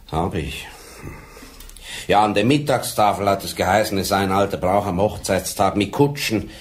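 A gruff older police sergeant. He speaks bluntly, with a dry wit, in a fairly low voice, in the style of a 1980s radio drama.

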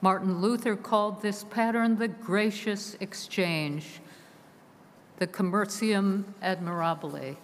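A woman preaching a solemn sermon from a pulpit, measured and slow, her phrases sinking at the ends. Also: mid-range voice, fairly even intonation, a little hiss or hum. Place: large stone church, from a pulpit. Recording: long church reverb heard from afar.

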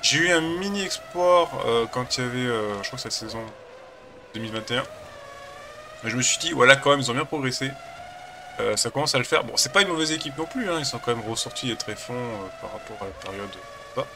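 A man's voice: mid-range voice, a little fast, background noise. A man with a cold chatting casually as a gaming channel host, rambling and hesitant while he plays a racing game. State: has a cold.

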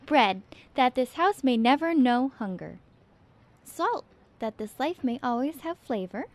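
A kindly, gracious lady in a radio drama. Her voice is high and slow, with plenty of melodic movement. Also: lively intonation, no echo. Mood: warmly ceremonial. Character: gracious, kindly woman giving housewarming blessings.

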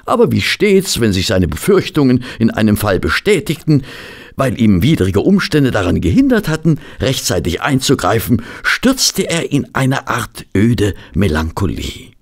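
An actor playing a fussy gentleman who is a detective's companion and narrator in a German audio drama. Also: medium pitch, very animated, creaky, full character voice. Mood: measured, sombre. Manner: flustered in dialogue, measured and literary when narrating.

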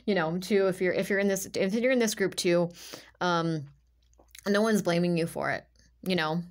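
A woman with a slightly low voice gives an informal tarot reading as a monologue. She talks in a halting, emphatic way, repeating phrases as she feels her way through and leaning often on the word "like". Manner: halting, repetitive, emphatic, filler-heavy with "like". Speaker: tarot reader, channeler.

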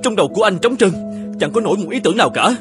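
A man voicing an earnest, troubled young male painter and devoted husband in an animated fairy tale. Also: high-pitched, rapid-fire, over music. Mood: despairing.

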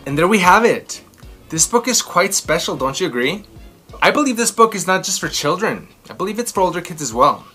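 A man in his thirties presents a children's storytime with theatrical emphasis, stretching key words. His pitch swings widely and his tone is bright, with some music behind him. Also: very animated, fairly quick, husky. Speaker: children's book read-aloud host. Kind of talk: expressive storytelling and chatty commentary for children. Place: small furnished room indoors.

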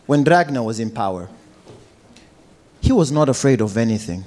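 A young man performing a stand-up set on a club stage into a handheld mic, animated and switching between voices. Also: medium pitch, moderate pace. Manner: animated, slips into Romanian voices. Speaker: stand-up comedian. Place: comedy club stage with live audience.

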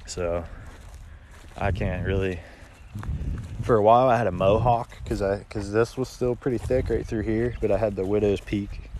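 A man's voice: on the low side, steady tone, moderate pace, a little mellow. An American man in his thirties chatting casually on a livestream, laid-back and rambling, talking into a phone held at arm's length outdoors. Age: 30s. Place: outdoors in open rural countryside. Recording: outdoor phone recording with ambient noise.

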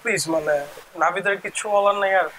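A man's voice: high-pitched, fairly even intonation, rapid-fire, bright, forceful tone, a little hiss or hum, poor audio. A man hosting a casual podcast chat, switching between English and Bengali. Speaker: podcast host.

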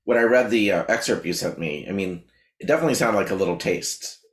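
A man's voice: low-pitched, fast, roomy sound. A middle-aged man hosting a podcast interview over a video call, asking curious, stumbling questions. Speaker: podcast host. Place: small room indoors. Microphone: video call webcam.

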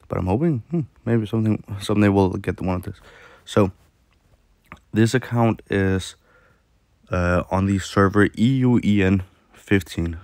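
Man, deep voice, gravelly and hoarse from a sore throat, rambling game commentary, dry close mic. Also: darkish tone, no room sound. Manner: rambling, many fillers and restarts. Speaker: mobile game YouTuber. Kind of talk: casual gameplay commentary.

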